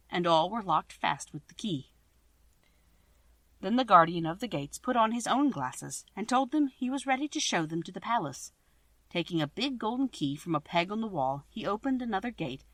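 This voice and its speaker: A woman reads a classic storybook aloud, measured and expressive, her voice rising and falling a great deal. The recording is dry and close, with no room sound. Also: mid-range voice, no room sound. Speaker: audiobook reader. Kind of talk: storybook reading.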